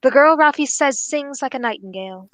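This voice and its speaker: A young woman playing a keen, courteous detective in a radio mystery, phrasing everything formally and deliberately. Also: sits high, expressive, fairly bright tone, no echo. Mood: urgent, resolute. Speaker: actor in a student radio drama. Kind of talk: old-time radio mystery acting.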